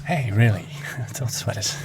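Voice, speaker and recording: A man's voice: lowish voice, unhurried, natural voice. A man playing a friendly, easygoing small-town librarian in casual, chatty audio drama dialogue. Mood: teasing, reassuring. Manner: chatty, reassuring. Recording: loud ambient noise behind.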